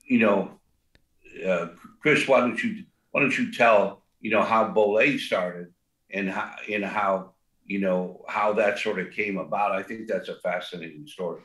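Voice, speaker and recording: A man's voice: on the low side, fairly even intonation, a little slow, fairly bright tone, reverberant. An older man, a restaurant co-founder, talks in a rambling way with many fillers and restarts and a big voice. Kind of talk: casual interview talk.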